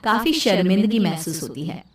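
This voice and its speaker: A woman's voice: fairly low pitch, lively intonation, normal speed, a crowd in the background. A woman reading a scripted story as its narrator. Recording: very echoey, distant, noisy babble behind.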